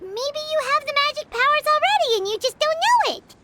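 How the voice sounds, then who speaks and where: Childlike game fairy: piping squeak, very animated, curious chatterbox, prominent music behind. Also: female voice, brisk. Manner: exaggerated, bubbly. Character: tiny childlike fairy companion, female, perky, curious, chatty. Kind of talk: video game voice acting, English dub.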